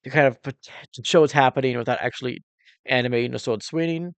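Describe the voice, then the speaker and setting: A man's voice: mid-range voice, fast talker, vocal fry, dry recording. A man giving casual, exclamatory reaction commentary as a cinematographer and host, thinking aloud informally while explaining technique.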